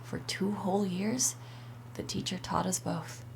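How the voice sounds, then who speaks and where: A woman voicing a guarded, frank young woman questioned in a video game mystery, speaking candidly in a low-key way. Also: fairly even intonation, unhurried, creaky, a little hiss or hum, rough recording. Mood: nostalgic. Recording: game audio with music underneath.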